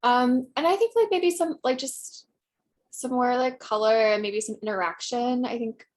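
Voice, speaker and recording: A woman's voice: on the high side, moderate pace, a little mellow. A woman student talking casually and tentatively in a class discussion, peppering her speech with filler words. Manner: tentative, heavy use of "like".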